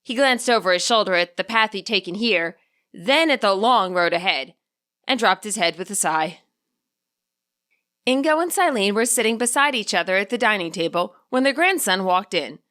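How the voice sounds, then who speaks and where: A woman's voice: mid-range voice, lively intonation, normal speed, bright, forceful tone. A woman reading her own fan fiction aloud as a scripted story narration.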